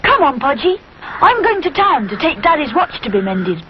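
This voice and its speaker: A woman voicing an eager, friendly young bear cub for a children's story, with bright, childlike exclamations. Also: high voice, very animated, normal speed, highs cut off, a crowd in the background, pitched above the performer's own voice. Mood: excited.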